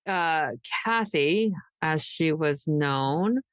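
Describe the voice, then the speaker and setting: A woman hosting a true crime podcast, discussing cases conversationally and pausing as she pieces the details together. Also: low voice, lively intonation, clear, resonant tone, no room sound, clean studio sound.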